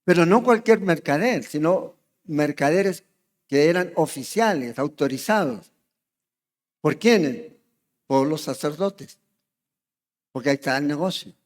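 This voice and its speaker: High-voiced Chilean pastor in a reverberant church. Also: male voice, lively intonation, a little slow, pauses often. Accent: Chilean Spanish. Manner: conversational, checks in with the congregation, asks questions. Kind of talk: expository sermon. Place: church sanctuary.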